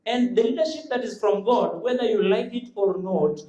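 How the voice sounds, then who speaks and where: A man's voice: high-pitched, lively intonation, normal speed, dark tone, dull audio. Earnest young man debating in an echoey hall. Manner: earnest, quoting proverbs, pleading for time. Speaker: student debater. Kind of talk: formal debate speech.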